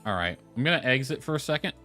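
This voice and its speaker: A man's voice: mid-range voice, big pitch swings, rapid-fire, bright, forceful tone. A man livestreaming a video game, talking casually to himself and reading game text aloud. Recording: music playing behind the voice. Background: lo-fi music.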